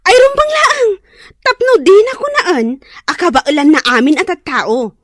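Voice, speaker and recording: An actress voicing an indignant, flamboyantly scornful friend in a radio drama. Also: female voice, extremely high pitch, huge pitch swings, normal speed, a bit bright, dry recording, studio quality, heavily acted. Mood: shrill indignation.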